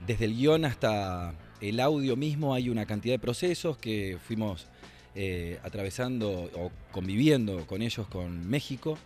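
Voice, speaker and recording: An Argentine man in his forties talks as an interviewed radio producer. His voice is fairly low and his delivery reflective and halting, over faint music. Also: lively intonation, a little mellow. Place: indoor library.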